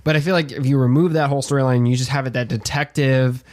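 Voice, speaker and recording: A man co-hosting a podcast, discussing casually and giving measured, carefully qualified opinions. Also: medium pitch, fairly even intonation, a little mellow. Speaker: podcast co-host.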